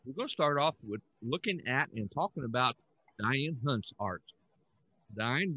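Older man with very lively, bright delivery. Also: medium pitch, dry recording, muffled recording. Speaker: podcast host. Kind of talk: casual podcast hosting. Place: indoor room at home, on a video call. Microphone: headset.

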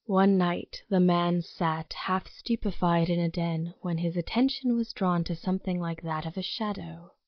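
A woman acting as a composed gothic storyteller with a lowish voice. She narrates formally and evenly for an audio drama, in a dry, slightly muffled recording. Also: steady tone, moderate pace, few pauses, no room sound, lightly acted. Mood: measured, mysterious.

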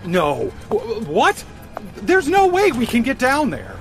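A man's voice: very high voice, wildly swooping pitch, slow, fairly bright tone, full character voice. An actor playing an anxious, cautious male friend in a radio drama, fretful and protesting in exasperation. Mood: exasperated. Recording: clear music behind the voice.

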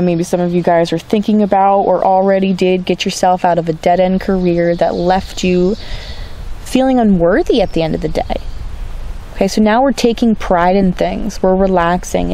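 Woman tarot reader, gravelly fry, warm dark tone, reassuring and unhurried, forest setting. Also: mid-range voice. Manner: reassuring, drawn-out, repeats "okay?". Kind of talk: tarot reading video. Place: outdoors in a forest.

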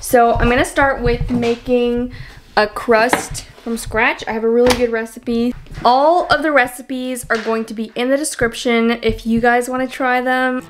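Chatty young woman, higher voice. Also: steady tone, a little slow, noisy background. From Canada. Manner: chatty, upbeat, with small laughs and ums. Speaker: lifestyle vlogger. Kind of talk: casual kitchen voice-over. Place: home kitchen. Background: soft background music.

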